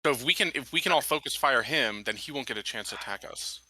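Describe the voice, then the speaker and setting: Fast, sharp-voiced man playing a game. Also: medium pitch, expressive, slightly rough, dry recording, low-quality recording. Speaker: tabletop game player. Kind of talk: casual game chat.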